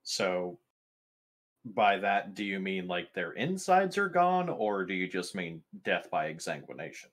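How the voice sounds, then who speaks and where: A man's voice: sits low, sing-song, unhurried, fairly bright tone. A man chatting casually as a player in a tabletop role-playing game, wry, joking and full of questions.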